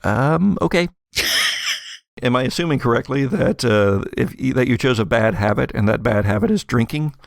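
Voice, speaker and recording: Gravelly-edged male game master, close and dry. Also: mid-range voice, animated, no echo. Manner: halting, conversational, prone to chuckling. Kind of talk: improvised narration of a tabletop roleplaying game.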